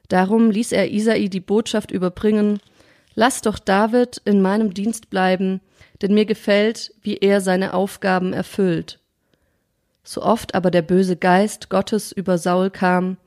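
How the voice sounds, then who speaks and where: A woman hosting a podcast on sermon ideas, chatting in a friendly way and reading a scripture passage aloud in a measured voice. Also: mid-range voice, a little mellow, no echo, clean studio sound. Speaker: podcast host. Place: studio or quiet room, sitting across from the guest.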